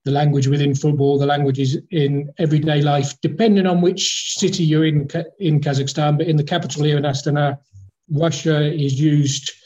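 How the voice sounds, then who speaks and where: A man's voice: fairly high pitch, fairly even intonation, moderate pace, mellow, dull audio. A man, a football club executive, talking as a guest in a casual interview, rambling and hesitant with many fillers and restarts. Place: in the capital of Kazakhstan.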